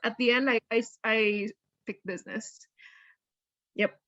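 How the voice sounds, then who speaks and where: A woman who is a university counselor, answering student interview questions in a chatty, lively way and often correcting herself. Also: fairly high pitch, unhurried, dry recording, dull audio.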